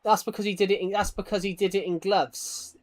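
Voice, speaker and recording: A man's voice: high voice, steady tone, fairly quick. A man chatting casually on a gaming livestream, rambling and self-deprecating, often stammering and repeating his words. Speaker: live streamer. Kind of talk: casual livestream chat while gaming, reading out viewer comments.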